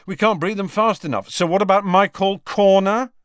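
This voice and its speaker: A man's voice: high voice, moderate pace, clean tone, dry recording, studio quality, voice pushed up, natural voice. A man voicing an enthusiastic, boastful young male prankster shopkeeper in an audiobook, talking eagerly.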